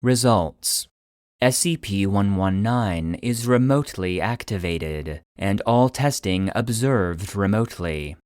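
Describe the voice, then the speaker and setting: A man giving scripted narration in a measured, clinical manner, like a report being read out. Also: fairly low pitch, animated, a little mellow, clean tone, dry recording, clean studio sound. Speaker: narrator and producer.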